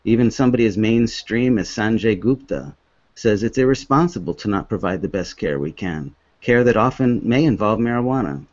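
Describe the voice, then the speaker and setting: Low-voiced, halting man. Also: expressive, a little mellow, no echo. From Hong Kong. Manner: halting, earnest, many restarts. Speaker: author giving a talk. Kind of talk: presentation with slides. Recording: dull, dry lo-fi recording.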